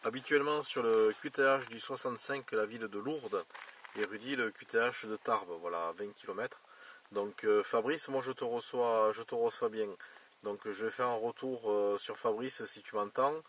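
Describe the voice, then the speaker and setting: A man's voice: fairly low pitch, moderate pace, fairly bright tone. A man from southern France working as a radio operator, chatting casually with procedural call-and-reply phrasing. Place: outdoors by a roadside. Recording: distant, noisy two-way radio chatter.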